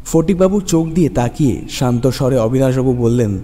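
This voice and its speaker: A man's voice: mid-range voice, expressive, a little fast, dark tone. A man narrating a scripted horror story dramatically for a radio show. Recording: long reverb over music. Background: background music.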